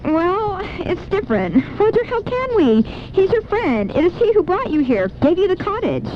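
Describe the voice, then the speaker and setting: Casting: woman playing anguished, earnest married lover, high-pitched and emotional, old-time radio melodrama, dull broadcast sound. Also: sing-song, normal speed. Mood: torn, pleading. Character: torn, pleading married woman, earnest and guilt-ridden. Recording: muffled, faintly noisy AM radio aircheck.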